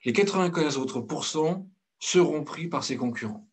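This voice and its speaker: A man's voice: fairly high pitch, expressive, moderate pace, slightly rough. A middle-aged man from France giving a blunt, outspoken presentation as director of a consultancy, with frequent hesitations and restarts. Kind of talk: conference talk.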